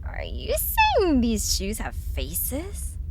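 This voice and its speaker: A woman's voice: a little high, huge pitch swings, drawn-out words, gravelly, darkish tone, a little hiss or hum, heavily acted. A woman playing a skeptical, anxious young woman in an audio drama, questioning everything in an emphatic, incredulous way. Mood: skeptical, uneasy.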